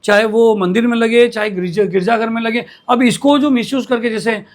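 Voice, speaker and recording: A man's voice: very high-pitched, fairly even intonation, normal speed. A man, hosting a news interview. He pushes his point insistently, often cutting in and repeating phrases for emphasis. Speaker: interviewer for a local news channel.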